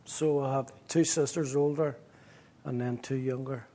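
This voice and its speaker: A middle-aged man from Northern Ireland with a Belfast accent, a pastor recounting his past in an interview with wry jokes and halting restarts. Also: medium pitch, steady tone, warm tone. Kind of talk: interview storytelling.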